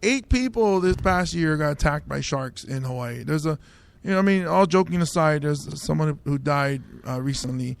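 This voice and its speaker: A man's voice: a little high, lively intonation, normal speed, gravelly. A man from Samoa hosting a casual comedy podcast, riffing on the news and laughing at his own bits. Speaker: host, stand-up comedian.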